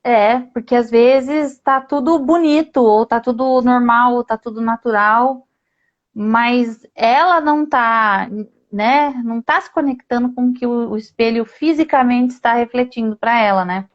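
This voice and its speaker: A woman from Brazil hosting a live-streamed conversation over a video call, telling a personal story in a lively way. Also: fairly high pitch, a little slow, highs cut off. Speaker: host.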